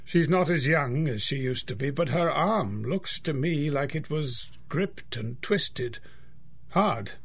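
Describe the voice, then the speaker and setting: A man playing a kindly, frank older family doctor in a radio drama, measured and husky. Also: a little high, expressive, heavily acted. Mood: grave. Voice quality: gravelly, husky. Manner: measured, gently reassuring. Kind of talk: 1930s-style radio drama acting.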